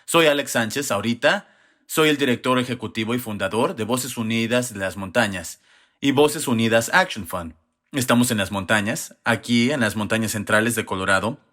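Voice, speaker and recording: Hombre mexicano de mediana edad, acento mexicano, voz de tono medio. Habla pausado y reflexivo, como ponente en un panel comunitario. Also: male voice, mid-range voice, fairly bright tone. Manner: measured, reflective storytelling. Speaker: executive director on a panel. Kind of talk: panel conversation.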